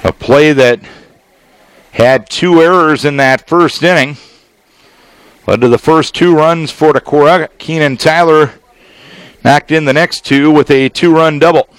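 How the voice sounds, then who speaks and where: A man doing live baseball play-by-play as a radio announcer, speaking in measured, clipped phrases with deliberate pauses. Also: a little high, clean tone, no echo. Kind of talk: live sports play-by-play commentary.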